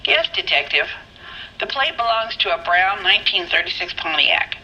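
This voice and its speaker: An actress playing a brisk, formal 1940s police radio operator in a video game crime drama, through a radio filter. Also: female voice, lowish voice, animated, bright, forceful tone, exaggerated voice. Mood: businesslike. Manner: formal, businesslike. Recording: dull, raspy radio line.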